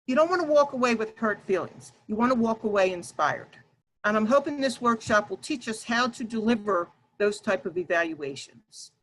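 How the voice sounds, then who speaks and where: A woman presenting an online workshop over a video call, talking loudly and emphatically and addressing her audience directly. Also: fairly high pitch, lively intonation, moderate pace, a little hiss or hum, rough recording.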